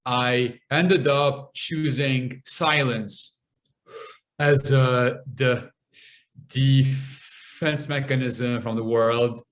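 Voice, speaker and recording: A young man from Lebanon talks as a podcast guest, and his speech is marked by a stutter. He moves very slowly, stopping often and repeating sounds before words come out. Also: medium pitch. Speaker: guest speaker and comedian. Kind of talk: podcast interview conversation.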